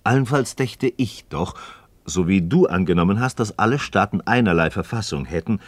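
An actor playing a thoughtful, hesitant partner in a philosophical radio dialogue, measured and questioning, with formal stage diction. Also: male voice, on the low side, expressive, no echo. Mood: hesitant, conceding. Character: thoughtful, hesitant male interlocutor, conceding yet doubting.

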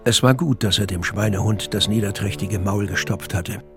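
A man's voice: on the low side, moderate pace, music in the background. A man narrating a scripted radio drama.

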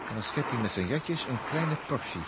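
An actor from an early sixties radio play voicing a cheeky, ingenious cyberneticist, chatty and jocular, with a Netherlands Dutch accent. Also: male voice, mid-range voice, animated, fairly quick, lightly acted. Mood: pondering. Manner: jocular, chuckling, colloquial, thinking aloud. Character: cheeky, ingenious male cyberneticist on a space crew. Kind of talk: 1960s Dutch science-fiction radio play acting. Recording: noisy early 1960s radio recording.

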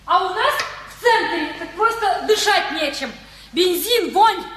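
An actress playing a gushing, gossipy, fussy visiting relative in a radio play. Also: female voice, extremely high pitch, animated, a little slow, a bit bright, full character voice. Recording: roomy, audibly noisy radio drama recording.

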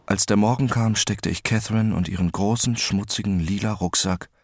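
An actor playing an introspective, obsessive man who narrates his own story in a radio drama, deliberate in his delivery. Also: lowish voice, dry recording, studio quality. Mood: reflective.

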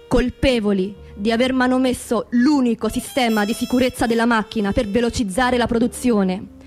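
A woman giving scripted theatrical narration as a stage narrator, solemn and measured, with deliberate phrasing. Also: on the high side, a little mellow.

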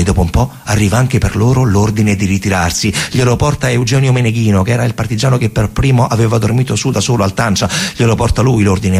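A man with a Roman accent delivering a dramatic theatre monologue in a colloquial, emphatic way, his voice husky and gravelly. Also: fairly low pitch, darkish tone. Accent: Roman Italian. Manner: colloquial, emphatic storytelling with repeated phrases. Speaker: stage actor and storyteller.

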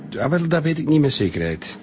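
An actor playing an evasive, flustered Flemish boss in a radio crime drama, answering questions haltingly. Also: male voice, on the high side, sing-song, faint music behind. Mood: flustered, recounting. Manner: hesitant, halting.

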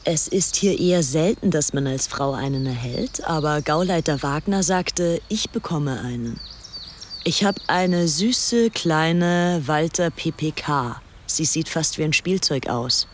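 Low-voiced, defiant young fanatical woman. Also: measured pace, a little hiss or hum. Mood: smug. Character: young Englishwoman, fanatical and defiant, reading her diary. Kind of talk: radio play diary monologue.

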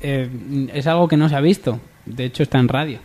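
A man's voice: medium pitch, brisk, warm tone. A man co-hosting a radio show with casual, wisecracking interjections. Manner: jokey interjections.

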